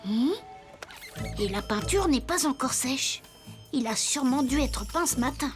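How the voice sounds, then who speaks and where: A little wooden puppet boy with a very high, bouncing voice. He is an earnest young detective from a children's cartoon, taking his time over each question, with music playing underneath. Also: expressive, a little slow. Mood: curious, thinking. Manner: earnest, inquisitive, enthusiastic. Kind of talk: French children's cartoon dub acting.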